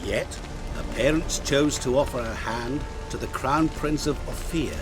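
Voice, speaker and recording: Sly, slow-talking schemer, lively intonation. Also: male voice, mid-range voice. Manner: theatrical, deliberate storytelling. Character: smug, sly, mysterious debt-collecting schemer. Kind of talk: video game character acting.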